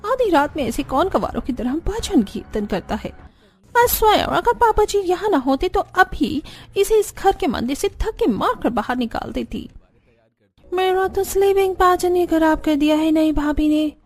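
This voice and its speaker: A woman's voice: very high-pitched, expressive, normal speed. A woman voicing a haughty, disapproving city in-law in a cartoon. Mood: irritated. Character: haughty, disapproving city women of the in-laws' household.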